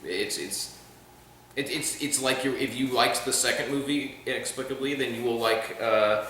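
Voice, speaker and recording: A man reviewing a film in casual chat, rambling and sarcastic, with animated outbursts. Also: mid-range voice, normal speed. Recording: very echoey room, faint noise.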